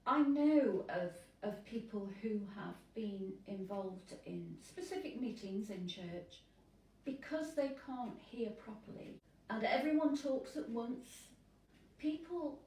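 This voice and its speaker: A woman priest who lives with hearing loss, speaking earnestly and in measured phrases in an interview. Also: fairly high pitch, expressive, a little mellow, roomy sound, far from the mic, low-quality recording.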